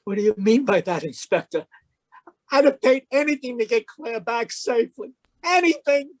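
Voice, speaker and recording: Woman playing anxious, high-voiced guilty husband. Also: animated, normal speed, darkish tone, lightly acted. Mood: indignant. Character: anxious, guilty husband, protesting his innocence. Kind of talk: old-time radio mystery acting. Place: live video call at home. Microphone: video call.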